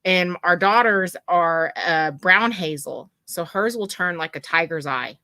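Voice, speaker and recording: A woman, co-hosting a casual live chat in an animated, chatty way. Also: fairly low pitch, unhurried, no room sound. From West Texas. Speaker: co-host.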